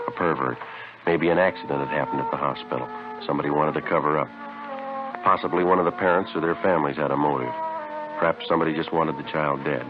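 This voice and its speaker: A man playing a terse, methodical police detective sergeant in a vintage radio drama, deadpan and clipped. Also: very deep voice. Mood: grim, terse. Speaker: lead actor and narrator in a radio police drama. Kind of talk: 1950s radio drama acting, terse first-person narration. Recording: muffled vintage radio recording.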